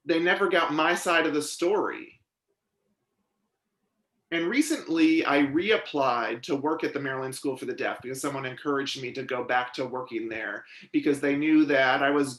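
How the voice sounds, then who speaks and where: A man's voice: a little high, fairly bright tone. Man interpreting testimony in an echoey room. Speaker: sign language interpreter voicing a deaf witness. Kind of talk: voiced testimony at a legislative hearing. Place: hearing room.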